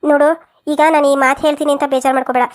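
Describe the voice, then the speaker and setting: Lady doing older village auntie for a cartoon, high quick voice, rural south karnataka accent, protective, lots of firm advice. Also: little pitch movement, natural voice. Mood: earnest, urging. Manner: emphatic, advising, colloquial. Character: frank, protective older village aunt giving family advice. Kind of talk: cartoon family drama dialogue.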